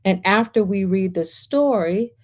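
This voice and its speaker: Slow, mellow-voiced woman storytime host. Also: mid-range voice. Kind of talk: gentle guided centering and picture book read-aloud.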